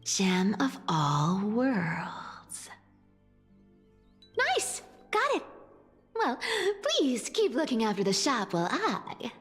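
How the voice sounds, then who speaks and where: A woman playing a street-smart, expressive young female thief in a video game, playful but insecure underneath. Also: a little high, very slow, long pauses, heavily acted. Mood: playful, sly. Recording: reverberant hall, music underneath.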